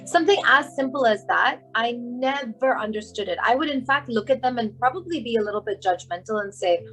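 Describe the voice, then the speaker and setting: A woman's voice: a little high, animated, fairly quick, a bit bright, soft background music, rough recording. A young woman from Hong Kong, a makeup artist and brand founder, talking casually as a guest in an interview and laughing often. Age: young adult.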